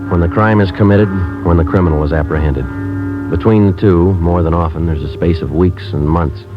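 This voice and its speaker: An actor playing a stoic police sergeant who narrates and questions in a matter-of-fact 1950s radio drama style. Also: male voice, sits low, brisk, lightly acted. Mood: deadpan, businesslike. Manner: clipped, deadpan, matter-of-fact. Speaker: narrator and lead police detective. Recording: dull old radio recording with music.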